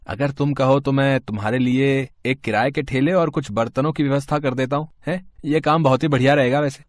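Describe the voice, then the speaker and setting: A man's voice: mid-range voice, dry recording, studio quality, lightly acted. A man playing a kindly, practical family friend in a moral story, speaking reassuringly. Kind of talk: Hindi moral story voice acting.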